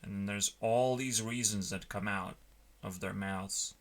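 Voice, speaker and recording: A man rambling casually in a halting, musing way, often trailing off, as if talking through his work on a stream. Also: on the low side, steady tone. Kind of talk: rambling casual chat while coding. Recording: noticeable background noise, keyboard clicks.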